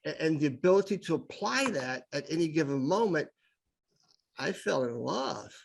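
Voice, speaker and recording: A man's voice: a little high, very animated, normal speed, gravelly, husky. A middle-aged man, a podcast guest, telling stories with enthusiasm and often repeating words as he builds his point. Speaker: podcast guest, improv speaker. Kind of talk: casual conversational storytelling.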